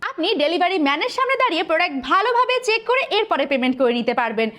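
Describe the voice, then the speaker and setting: A woman with a Bangladeshi accent reading a scripted promotional voice-over, pitching directly to the buyer in a salesy way. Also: sing-song, racing, fairly bright tone. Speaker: product advertiser.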